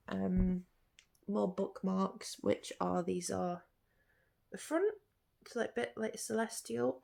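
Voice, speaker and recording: A woman's voice: mid-range voice, vocal fry, a little mellow. A woman, stuffy-nosed from hay fever, chatting casually as she thinks aloud in a vlog. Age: late 20s. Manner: rambling, thinking aloud with fillers and self-corrections. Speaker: artist vlogger. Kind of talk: casual reflective vlog chat.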